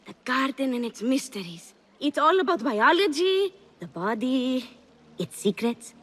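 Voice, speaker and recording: Young palace intriguer for a game drama: high-pitched, coy, slyly theatrical, devoted to her mistress. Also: very animated, unhurried, a bit bright, exaggerated voice. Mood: coy, curious. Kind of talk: video game cutscene acting.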